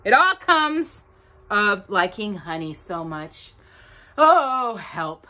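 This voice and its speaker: A woman voicing a gentle, bumbling male bear in a storybook reading, slow and wondering. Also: medium pitch, very animated, full character voice.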